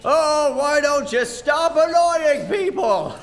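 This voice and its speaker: An actor playing a forgetful, flustered older uncle who stammers and trails off, in a radio play. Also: male voice, extremely high pitch, expressive, takes it slowly, vocal fry, exaggerated voice. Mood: addled, merry. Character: forgetful, flustered older uncle, good-natured but hapless. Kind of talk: stage radio play acting.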